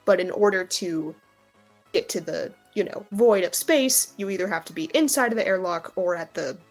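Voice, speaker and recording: A woman's voice: on the low side, lively intonation, moderate pace, faint music behind. A woman game master who improvises narration for a tabletop game and explains the scene in a conversational way.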